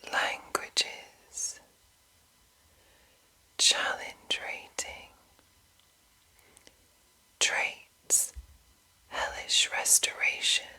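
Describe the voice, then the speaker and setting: A woman reads in a hushed ASMR whisper, entirely breathy and unvoiced. She takes her time over each short, deliberate phrase, with a crisp, sibilant brightness to the whisper. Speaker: ASMR host reading a monster manual.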